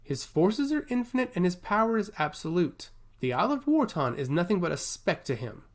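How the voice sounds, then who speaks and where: A youthful fantasy nobleman acted out by a man reading a story, flattering and sure of himself. His courtly lines swing widely in a fairly high male pitch. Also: a little fast. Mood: stern warning. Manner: courtly, florid phrasing. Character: youthful, forward young nobleman, flattering and self-assured.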